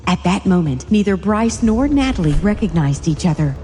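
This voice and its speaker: Mellow woman narrator with reverb. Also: medium pitch, expressive, moderate pace, slight background noise. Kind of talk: scripted dramatic story narration.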